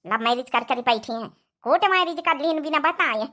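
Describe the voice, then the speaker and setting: A woman's voice: high voice, lively intonation, normal speed, bright, forceful tone. A woman playing a strict, scolding village mother-in-law in a cartoon comedy, with an Awadhi accent and a nagging, domineering manner. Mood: grumbling, bossy.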